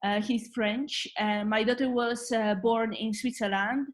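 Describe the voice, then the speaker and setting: Polish-accented woman, higher voice. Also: steady tone, a little slow. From Poland. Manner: many fillers and restarts, laughs easily, warm and earnest. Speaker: guest. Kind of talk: casual interview conversation.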